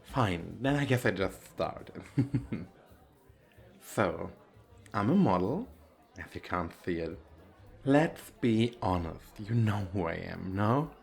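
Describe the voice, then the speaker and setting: A man's voice: fairly low pitch, lively intonation, takes it slowly, gravelly, pauses often, slightly rough, soft background music, full character voice. Man playing a theatrical, swooping vain male model. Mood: cocky, teasing. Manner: theatrical, teasing, self-absorbed. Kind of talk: ASMR roleplay.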